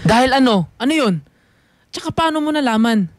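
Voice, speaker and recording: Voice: medium pitch, animated, fairly quick, a little hiss or hum, natural voice. A performer playing a hot-tempered, wounded young adult in a radio drama, demanding answers in a confrontational manner. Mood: confused, demanding. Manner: confrontational, demanding, slips between Tagalog and English.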